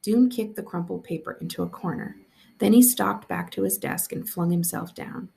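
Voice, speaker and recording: A woman reading a story aloud as a school librarian, in an expressive narrating style. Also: fairly low pitch, normal speed, creaky.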